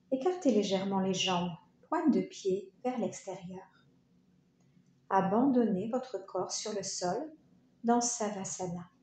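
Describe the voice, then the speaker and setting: Lady doing guided yoga relaxation, soft mellow tone, really unhurried, roomy echo. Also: mid-range voice. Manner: soothing, unhurried instruction with short phrases and pauses. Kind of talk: guided meditation narration. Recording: roomy echo, faint background noise.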